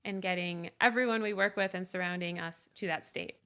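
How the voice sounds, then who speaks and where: A woman's voice: on the low side, fairly even intonation, a little fast, bright, forceful tone, clear, resonant tone. A woman co-hosting a podcast, talking in an upbeat, earnest way about health. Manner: upbeat, earnest, repeats "right?". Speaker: podcast co-host. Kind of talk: casual podcast conversation.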